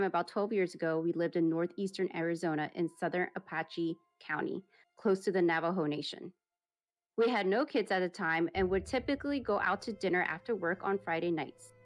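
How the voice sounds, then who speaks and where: Woman reading a creepy listener story. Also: medium pitch. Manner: steady storytelling read, plain and even. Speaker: podcast host reading listener stories.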